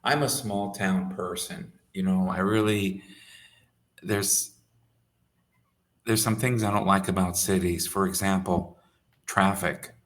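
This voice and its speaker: An American man tutoring English over a video call, speaking simply and clearly for a learner. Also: low-pitched, steady tone, fairly bright tone. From United States. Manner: slow, simple phrasing for a learner. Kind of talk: casual conversation lesson. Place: home in Saudi Arabia.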